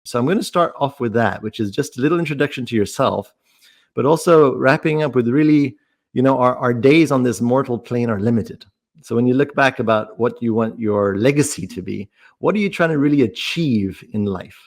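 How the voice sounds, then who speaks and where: A man speaks as the host of an interview podcast. His voice sits at an average pitch. He talks conversationally, setting out his questions in measured, thoughtful phrases.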